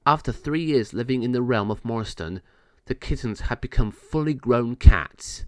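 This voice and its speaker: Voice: mid-range voice, lively intonation, moderate pace, dry recording, clean studio sound. A narrator reading a children's story aloud in a scripted read-along style.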